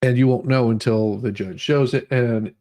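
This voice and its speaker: A man's voice: mid-range voice, steady tone, moderate pace, a little mellow. A man hosting a livestream, reading documents aloud and adding informal, rambling commentary in which he often repeats words. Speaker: livestream host. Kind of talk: dramatic reading of legal documents with casual commentary.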